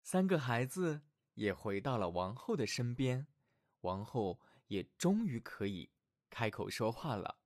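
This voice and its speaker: A man narrating fairy tales for children as a storyteller, reading expressively and dramatically from a script with clear phrasing. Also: medium pitch, sing-song, a little slow, dry recording, clean studio sound. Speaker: children's storyteller, show host.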